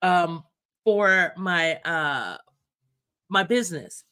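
A woman's voice: lowish voice, expressive, extremely slow, sharp tone, clear, resonant tone. A woman co-hosting a faith talk show, speaking earnestly and declaring affirmations with emphasis.

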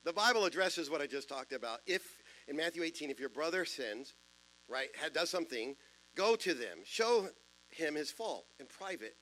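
A man in his fifties with a deep voice, preaching a sermon in a church in a conversational way, with self-deprecating jokes and asides. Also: expressive, moderate pace, fairly bright tone, poor audio. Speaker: pastor. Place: church sanctuary.

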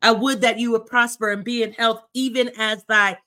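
A woman's voice: fairly high pitch, fairly even intonation, moderate pace, pushed and bright. A woman in her fifties, a therapist, speaking earnestly and encouragingly in a faith talk conversation, with frequent restarts. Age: 50s. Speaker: therapist, co-host.

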